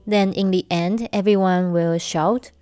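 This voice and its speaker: A young woman from Taiwan, hosting a casual solo podcast and telling stories slowly with light humor. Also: fairly low pitch, fairly even intonation, mellow, faint music behind. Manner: slow, measured storytelling with light humor. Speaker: podcast host.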